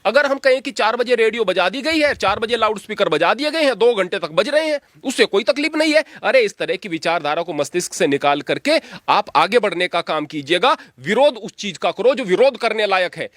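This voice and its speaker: A man's voice: sits high, animated, brisk, few pauses, a bit bright, no echo, clean studio sound. A man giving his opinions in an interview like a preacher, emphatic and exhorting, repeating phrases for stress.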